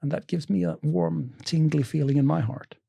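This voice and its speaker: A man's voice: a little high, fairly even intonation, moderate pace, vocal fry, warm tone, breathy edge. A man talking as a podcast guest in an upbeat, enthusiastic way about his own work. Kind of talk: casual interview chat.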